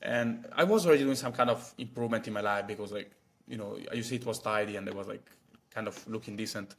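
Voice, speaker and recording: A man's voice: fairly low pitch, fast, fairly bright tone, a little hiss or hum. A man, chatting casually to camera as a vlogger with many fillers and asides. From Italy. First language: Italian. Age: 20s.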